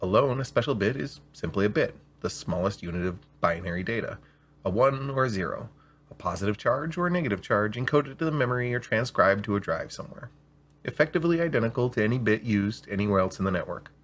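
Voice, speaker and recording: Husky-voiced male storyteller. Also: on the low side, expressive, quick pace, a bit bright. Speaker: storyteller and show host. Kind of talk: scripted story reading with spoken intro.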